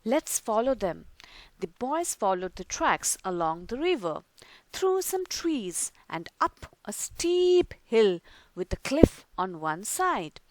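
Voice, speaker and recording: A woman reading a children's picture book aloud, slowly and expressively. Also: mid-range voice, sing-song, no echo, low-quality recording. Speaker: storyteller.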